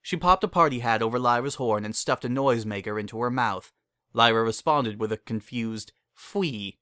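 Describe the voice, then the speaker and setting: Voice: mid-range voice, fairly quick, fairly bright tone, no echo, studio quality. A narrator giving a scripted dramatic reading of a story.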